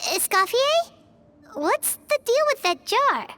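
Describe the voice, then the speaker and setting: A tiny childlike game sprite, squeaky and excitable. The pitch is extremely high and bouncy, over clear background music. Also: female voice, takes it slowly, heavily acted. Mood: puzzled. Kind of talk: video game voice acting.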